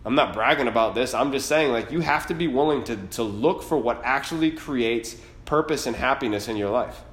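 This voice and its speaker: A man's voice: mid-range voice, a little fast, fairly bright tone. A man in his late thirties hosting a podcast, giving a blunt, fired-up motivational monologue full of swearing. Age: 30s. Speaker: podcast host. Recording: roomy echo.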